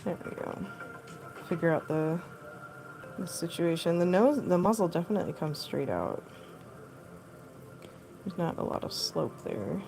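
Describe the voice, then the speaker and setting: Deep, gravelly-voiced woman streamer. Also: animated, unhurried, long pauses, music in the background, low-quality recording. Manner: rambling, filler-heavy, laughs often. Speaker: costume artist streaming live. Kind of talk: casual chat while sculpting. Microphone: phone.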